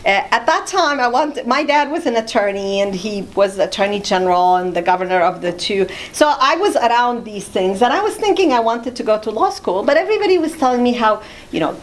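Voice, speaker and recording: A middle-aged woman from Jordan, talking conversationally as an interview guest about her own life. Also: on the high side. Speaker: interview guest, immigration attorney.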